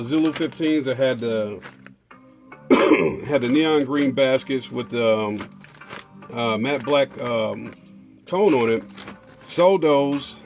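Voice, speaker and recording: A man's voice: mid-range voice, a little mellow. A man, chatting casually as he unboxes car audio gear, rambling with lots of fillers and restarts.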